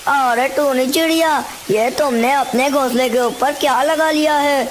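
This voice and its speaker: A woman's voice: high voice, measured pace, slight background noise, low-quality recording. A woman voicing a needy, envious male crow in a cartoon story, exclamatory and pleading in manner. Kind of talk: Hindi cartoon story dialogue.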